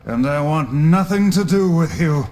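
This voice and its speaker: An actor playing a proud, haughty talking book in a video game, with pompous, formal, theatrical diction. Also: male voice, fairly high pitch, animated, measured pace, slight background noise. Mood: fond, resolute. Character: proud, haughty talking magical book, male, loyal underneath. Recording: loud music under the voice.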